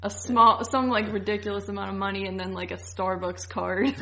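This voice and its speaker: A woman co-hosting a podcast, recapping a show in a giggly, informal, animated storytelling manner. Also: mid-range voice, moderate pace, a little hiss or hum, rough recording. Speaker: podcast co-host. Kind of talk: casual chat recapping a TV series.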